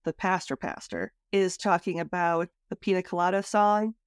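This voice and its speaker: A woman's voice: lowish voice, normal speed, clean tone, dry recording, clean studio sound. A woman hosting a podcast in a casual, conversational style. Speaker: podcast host.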